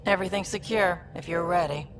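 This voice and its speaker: Woman playing a very low-voiced starship officer. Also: fairly quick, background noise, close to an everyday voice. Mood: businesslike. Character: composed, businesslike female starship officer. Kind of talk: video game cutscene acting.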